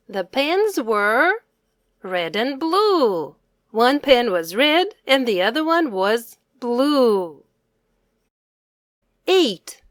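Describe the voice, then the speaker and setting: Woman, children's quiz presenter, teacherly, careful enunciation, very slow pace, animated pitch, dry close sound. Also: no room sound. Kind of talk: quiz questions and answers for young children.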